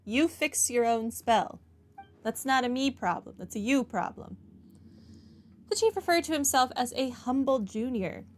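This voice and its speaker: A woman's voice: fairly high pitch, animated, a little slow. A woman giving casual, wry, conversational commentary as she plays through a game. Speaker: gaming streamer. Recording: game music faintly behind.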